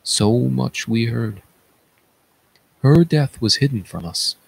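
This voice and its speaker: A man voicing a solemn palace attendant who recounts horrors in a declamatory stage-tragedy reading, with dramatic pauses. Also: medium pitch, vocal fry, slight background noise, rough recording. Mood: grim, urgent.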